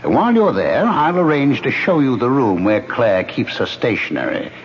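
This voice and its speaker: A man's voice: mid-range voice, sing-song, normal speed, slight background noise, close to an everyday voice. An actor playing a smug, sinister male ghost in an old radio mystery play, coaxing his victim into a murder plot. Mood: scheming. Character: smug scheming male ghost who speaks unseen. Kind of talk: 1970s radio drama acting. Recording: muffled old radio broadcast.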